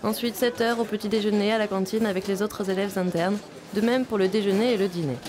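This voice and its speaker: A young woman from Vietnam, a native Vietnamese speaker, calmly narrating a personal video diary as a visiting film student. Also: mid-range voice, quick pace, a crowd in the background. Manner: calm, reflective, first-person storytelling.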